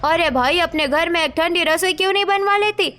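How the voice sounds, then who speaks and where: A woman's voice: very high-pitched, brisk, pushed and bright. A woman voicing a reproachful but sympathetic village neighbour in a cartoon story. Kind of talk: cartoon moral story acting.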